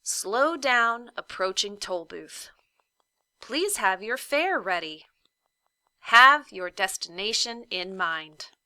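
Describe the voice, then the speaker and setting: A woman voicing a formal printed notice in a whimsical storybook, official and measured, drawing out key words. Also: medium pitch, lively intonation, sharp tone, no echo, full character voice. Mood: instructing. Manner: official, measured, drawn-out emphasis.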